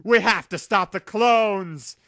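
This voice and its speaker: A man's voice: high voice, takes it slowly, a bit bright, no room sound, clean studio sound, pitched above the performer's own voice, exaggerated voice. A man voicing a hot-headed young male monster trainer with exclamatory lines in a read-aloud story. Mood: alarmed.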